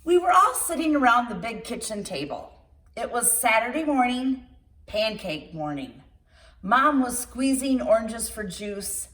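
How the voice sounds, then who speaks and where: A woman reading a picture book aloud to children in an expressive storytime style, with playful asides to her young listeners. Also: on the high side. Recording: roomy echo, distant microphone.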